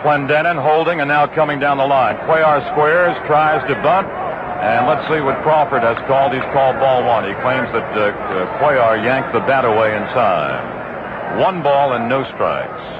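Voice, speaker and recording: A middle-aged man calling baseball play-by-play for radio in a measured, steady way that lifts on big plays. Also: medium pitch. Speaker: play-by-play announcer. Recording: muffled 1960s radio broadcast with loud noise.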